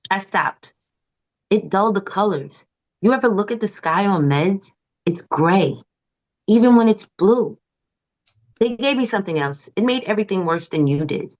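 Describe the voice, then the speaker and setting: A woman's voice: mid-range voice, lively intonation, moderate pace, pauses often, a little mellow, close to an everyday voice. A woman playing a manic, sleepless and bitter psychiatric patient in a dramatic, confrontational way at a script table read. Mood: defiant. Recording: slightly dull, lo-fi audio.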